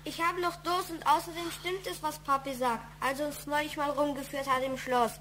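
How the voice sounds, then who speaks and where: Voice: high-pitched, fairly even intonation, a little fast, sharp tone, slight background noise. A performer playing a whining, defiant young boy in a German radio play.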